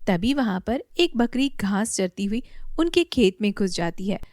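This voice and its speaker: A woman narrating a children's moral story in a plain, steady way. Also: medium pitch, unhurried, dry recording, studio quality.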